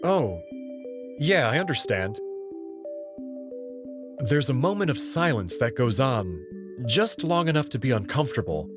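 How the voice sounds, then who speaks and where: Guy narrating a visual novel, really animated pitch, normal register, music going behind him. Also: unhurried, pauses often. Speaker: narrator and player reader. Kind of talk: expressive first-person story narration with dialogue.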